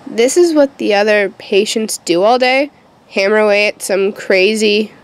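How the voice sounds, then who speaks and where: An actress playing a defiant, sharp-tongued teenage witch in an audio horror drama, intense and dramatic. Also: mid-range voice, a little slow, natural voice. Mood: incredulous.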